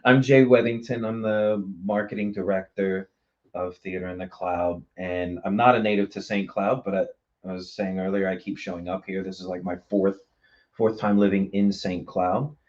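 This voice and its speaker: A man with a low voice talks casually as a guest on a show. He sounds reflective and appreciative, and the recording is slightly dull and lo-fi. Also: fairly even intonation, moderate pace, darkish tone. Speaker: guest, theater marketing director. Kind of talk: casual interview talk.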